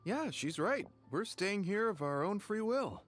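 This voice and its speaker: A woman's voice: medium pitch, very animated, measured pace, faint music behind. A woman playing a resolute, agreeable villager in a video game. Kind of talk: scripted video game dialogue acting.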